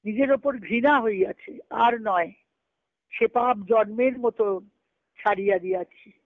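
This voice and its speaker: A woman's voice: on the high side, unhurried, no room sound. A woman on a tinny conference call, reading letters aloud and explaining them didactically as she repeats phrases. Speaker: spiritual discussion speaker. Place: speaking on a group conference call. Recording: tinny, band-limited conference phone line.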